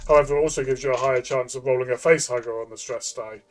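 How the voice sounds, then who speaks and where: A man who plays and reviews tabletop games, explaining things casually in a tentative, halting way and repeating words. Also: mid-range voice, steady tone. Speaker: tabletop game reviewer and player.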